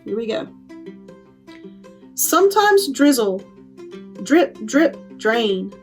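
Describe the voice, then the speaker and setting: High-pitched woman reading to children, slowly. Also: sing-song, faint music behind. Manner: simple, clearly phrased explaining for young children. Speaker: children's librarian, storytime host. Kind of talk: children's storytime talk and picture-book read-aloud.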